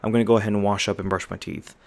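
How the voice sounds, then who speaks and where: Man voicing a bashful, low-voiced young werewolf jock. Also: little pitch movement, racing, vocal fry, a little mellow. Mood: bashful, playful.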